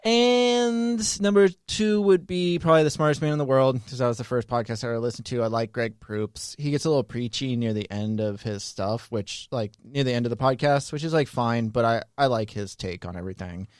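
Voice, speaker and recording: A man's voice: mid-range voice, fairly quick, hardly any pauses, smooth, no room sound, studio quality. A man in casual podcast conversation, telling stories in a rambling, conversational way. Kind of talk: casual chat.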